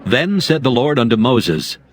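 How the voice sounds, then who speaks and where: A man's voice: medium pitch, big pitch swings, unhurried. A man narrating dramatized scripture in a solemn, formal storytelling manner.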